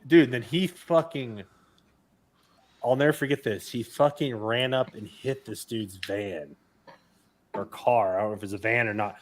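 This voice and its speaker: A man's voice: mid-range voice, moderate pace. A man co-hosting a podcast who tells stories with lots of swearing and laughter in casual banter. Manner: swearing, laughing anecdote teller. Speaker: podcast co-host.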